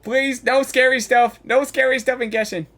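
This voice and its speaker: Bright-voiced woman reacting to a game. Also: sits high, fairly even intonation, moderate pace. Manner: repetitive, informal. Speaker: gaming reaction video creator. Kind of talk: casual game reaction commentary.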